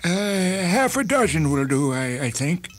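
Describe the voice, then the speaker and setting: Man playing a hesitant, higher-voiced doctor. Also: sing-song, soft background music. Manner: halting, mild, full of hesitations. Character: polite, hesitant, uneasy male hospital doctor.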